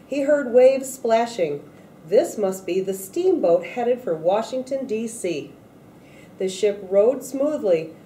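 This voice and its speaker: A woman reading a children's picture book aloud in a deliberate way, acting out the quoted speech a little. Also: mid-range voice, warm tone, recorded from a distance. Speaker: storybook reader at a read-aloud event. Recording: echoey room, off-mic, faint noise.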